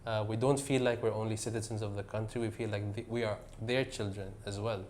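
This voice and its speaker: Man, middle-aged, from the UAE, interview guest, hesitant, filler-heavy, low pitch, faint background noise. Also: little pitch movement, fairly quick, a little mellow. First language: Arabic. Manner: hesitant, many fillers, mixes English and Tagalog. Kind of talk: casual interview answers.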